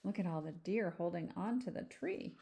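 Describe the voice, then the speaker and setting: Female storybook reader, teacher type, animated delivery, talks directly to children. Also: fairly low pitch, normal speed, vocal fry. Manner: animated, addresses young listeners with questions. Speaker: teacher reading a picture book aloud. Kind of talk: children's storybook read-aloud with chatty asides.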